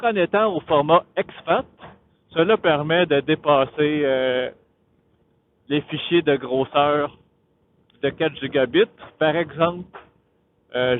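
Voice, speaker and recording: A man with a Quebec French accent explaining tech casually and haltingly, as a vlogger thinking aloud. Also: a little high. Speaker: motovlogger, host of his own channel. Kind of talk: casual tech explainer vlog while riding. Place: on a motorcycle outdoors. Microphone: Bluetooth helmet intercom. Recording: muffled phone-like Bluetooth intercom, riding noise.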